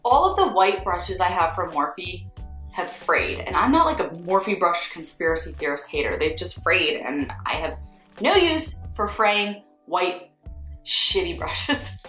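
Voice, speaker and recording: A woman's voice: fairly low pitch, measured pace. A young woman from Northeast Philadelphia with a Philadelphia accent, a makeup artist giving blunt, sarcastic, swear-laced opinions in a casual vlog. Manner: blunt, sarcastic, swears freely, animated swings. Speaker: beauty vlogger, freelance makeup artist. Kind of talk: candid casual ranting vlog. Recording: echoey room with faint music.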